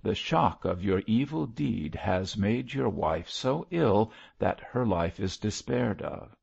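A man voicing a young, gentlemanly parson in an audiobook, speaking formally and piously with a nervous, faltering earnestness. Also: lowish voice, animated, slow, gravelly, breathy edge, dull audio, exaggerated voice. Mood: solemn, earnest.

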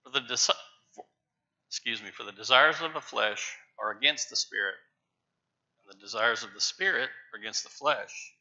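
A middle-aged man preaching from a church pulpit, stressing key words with emphatic, rising loudness. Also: medium pitch, normal speed. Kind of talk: sermon. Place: large church sanctuary with pews. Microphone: lapel mic, far camera. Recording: dull church livestream audio.

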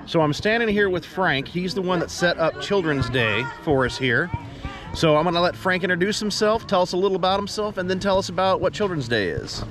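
A man's voice: high-pitched, expressive, fairly quick. A middle-aged man from Texas hosting a casual selfie vlog in a park, talking in a chatty, folksy way and often repeating words while he thinks. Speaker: vlogger host. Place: outdoors in a park. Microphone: camera held at arm's length.

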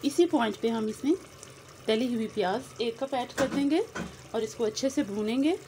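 A woman's voice: a little high, normal speed. A woman, hosting a cooking channel and explaining a recipe step by step to her viewers in a friendly way. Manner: friendly, addresses viewers directly, mixes in English words. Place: home kitchen. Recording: noisy phone recording over sizzling pan. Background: frying and sizzling.

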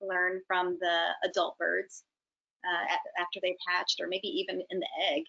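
A woman's voice: on the low side, flat delivery, moderate pace, creaky, bright, forceful tone. A middle-aged woman hosting casually and relaying audience questions over a video call. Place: indoor room on a video call. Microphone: computer video call. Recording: dull, lo-fi video call audio.

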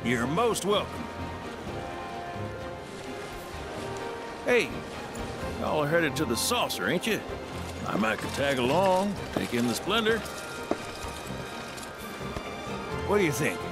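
Swaggering Southern US pilot: salty and folksy, highish voice with lively swings, loud music and waves behind. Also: male voice, moderate pace. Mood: breezy, genial. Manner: folksy drawling slang, swaggering. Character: gruff cocky folksy pilot, free-spirited and salty. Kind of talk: video game cutscene acting.

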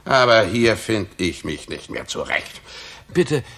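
A man's voice: lowish voice, expressive, slow, fairly bright tone, exaggerated voice. An actor playing a grumbling, self-important roguish messenger in a 1960s German radio play, telling his tale in a boastful, indignant manner. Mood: bewildered, curious.

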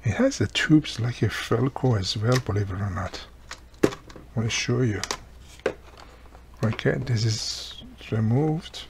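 Gravelly-voiced man, casual repair commentary. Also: mid-range voice, lively intonation, a little slow, pauses often. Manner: talks in short remarks while working. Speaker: host, radio repairer. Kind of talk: casual hands-on repair narration. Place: indoor workbench. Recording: noisy recording with background room noise.

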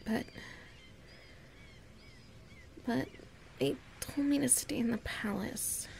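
Woman playing anxious, klutzy young heroine of an audio roleplay: halting self-talk, soft mellow tone, crackly fry. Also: on the high side, whispered. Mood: worried musing. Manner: breathy, halting, murmuring to herself. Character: clumsy, anxious young human woman musing to herself. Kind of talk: audio roleplay monologue, thinking aloud.

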